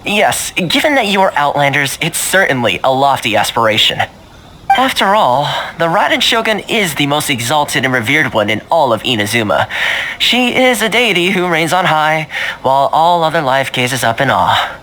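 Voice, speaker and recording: Suave, lively young fixer. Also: male voice, fairly high pitch, normal speed, slight background noise. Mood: teasing. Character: suave, easygoing young male fixer with local connections. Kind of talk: video game dialogue acting. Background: game music.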